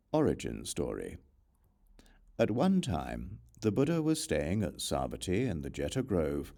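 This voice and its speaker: A man narrating a religious text as an audiobook in a measured, formal, deliberate manner. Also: low voice, gravelly, mellow.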